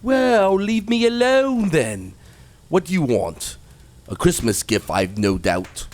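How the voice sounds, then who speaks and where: A man's voice: sits high, big pitch swings, slow pace, darkish tone, heavily acted. An actor playing a grim, miserly, cantankerous old man who snaps and grumbles scornfully in a radio play. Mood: dismissive.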